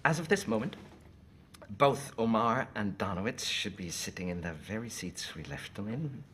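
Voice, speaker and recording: A low-voiced German officer for a war film. He is urbane and smug, turning precise, courteous phrases into threats. Slight noise sits behind the dialogue. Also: lively intonation, moderate pace, a bit bright. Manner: polite, theatrical, precise, savouring phrases. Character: urbane, smug, menacing Nazi officer negotiating coolly.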